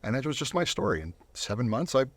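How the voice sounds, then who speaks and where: A man's voice: medium pitch, big pitch swings, fast, vocal fry, breathy edge, no room sound. A man speaking as a guest in a casual studio interview, earnest and reflective, sometimes repeating words as he goes. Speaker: interview guest, volunteer Bible reader.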